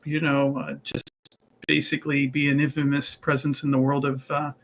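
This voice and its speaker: A man's voice: mid-range voice, completely flat delivery, moderate pace, fairly bright tone, low-quality recording. A man casually introducing his tabletop character, rambling with many fillers and restarts. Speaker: tabletop player.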